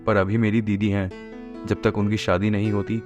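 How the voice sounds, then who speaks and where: A man's voice: lowish voice, little pitch movement, moderate pace, dull audio, over music, natural voice. A man playing a young lover and headstrong younger brother in plain, earnest cartoon story dialogue. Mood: earnest, affectionate.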